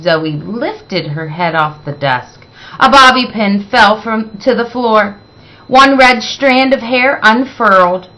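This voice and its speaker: Bright, unhurried woman storyteller. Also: a little high, rough recording. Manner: deliberate, dramatic emphasis. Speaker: read-aloud storyteller. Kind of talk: expressive children's picture book narration.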